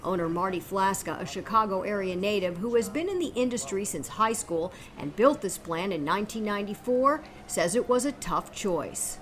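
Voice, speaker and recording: A woman hosting a news program, narrating a report and then interviewing studio guests in an even, measured way. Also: mid-range voice. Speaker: news show host.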